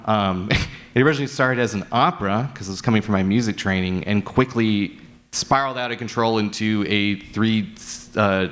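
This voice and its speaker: Male panelist, lowish voice, earnest and rambling, unscripted, leans on repeated words for emphasis. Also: fairly bright tone. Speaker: audio drama creator and panelist. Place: live convention panel. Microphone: wired panel microphone.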